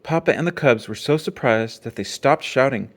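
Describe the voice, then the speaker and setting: A man reads a children's book aloud. His voice has an average pitch but moves widely up and down. The narration is expressive storytelling. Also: moderate pace. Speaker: storybook reader.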